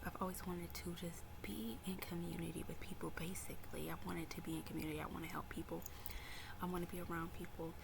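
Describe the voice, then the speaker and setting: Young woman, early twenties, whispered confiding vlog talk, gravelly fry, noisy background. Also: on the low side. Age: early 20s. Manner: mostly whispered, soft-spoken and confiding. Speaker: vlogger. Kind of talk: personal faith story to camera.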